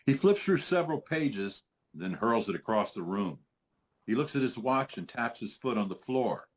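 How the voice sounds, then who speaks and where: A man's voice: mid-range voice, animated, moderate pace, muffled recording. A man reading scripted stage directions as narrator at a live table read.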